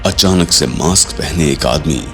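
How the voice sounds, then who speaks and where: A man's voice: very deep voice, unhurried, fairly bright tone. A man narrating a scripted audio drama in a suspenseful storytelling style, with dramatic delivery. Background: music.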